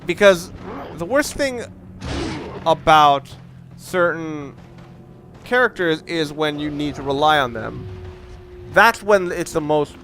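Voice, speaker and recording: A man giving casual, opinionated gameplay commentary, rambling and halting as he goes, with casual swearing. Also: on the high side, expressive, fairly bright tone, soft background music. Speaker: let's play gamer commentator.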